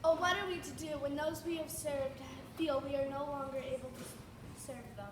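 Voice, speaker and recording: A woman's voice: sits high, fairly even intonation, normal speed, natural voice. A young actress in a school play voicing a weary, genteel old female cat. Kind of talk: school stage play acting. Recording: echoey hall, distant mic, noisy.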